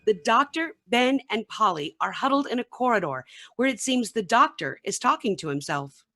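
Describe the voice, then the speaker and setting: A woman's voice: fairly high pitch, expressive, moderate pace, sharp tone. A woman narrating scripted stage directions for a radio play.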